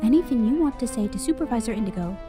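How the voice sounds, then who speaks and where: Saccharine female bureaucrat boss, acted by a woman, high and swooping, condescending, for audio drama. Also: expressive, racing, over music, lightly acted. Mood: mock sweet. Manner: saccharine, condescending, falsely cheerful. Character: sugary, menacing female director of an otherworldly bureaucracy. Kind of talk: scripted audio drama acting.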